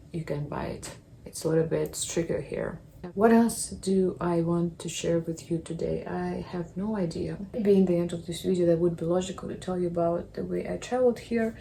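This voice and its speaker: A young woman, chatting casually to camera in halting English, often restarting her sentences. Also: lowish voice, gravelly, mellow, a little hiss or hum. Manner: halting, apologetic non-native English with restarts. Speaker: vlogger. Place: apartment.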